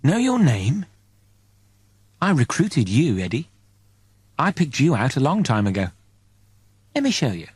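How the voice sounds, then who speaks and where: A man's voice: medium pitch, huge pitch swings, a little slow, pauses often, a little mellow, breathy edge, no echo, clean studio sound, exaggerated voice. A man voicing a serene, knowing female angel in an audiobook, coaxing with patient, assured phrases.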